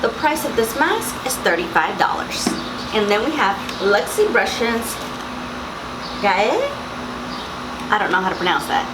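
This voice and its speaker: A young woman with a slightly raspy morning voice, chatting casually through a beauty box unboxing and reading product labels aloud. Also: medium pitch, animated, measured pace, long pauses, very noisy. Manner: chatty, reads labels aloud, frequent mm-hmms. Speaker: beauty vlogger.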